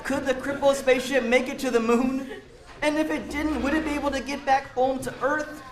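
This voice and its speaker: A man's voice: very high-pitched, steady tone, a little fast, a crowd in the background. A man reading a picture book aloud to children, encouraging them and chatting in asides to explain words and ask questions. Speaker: read-aloud storyteller for children. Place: roomy indoor space with a group of children. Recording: echoey room.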